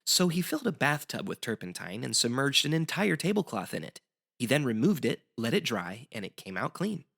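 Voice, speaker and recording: A man with a bright, lively voice that dips into a creaky edge. He explains history as a show guest, articulate and wry, in the manner of scripted educational banter. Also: medium pitch, fairly quick.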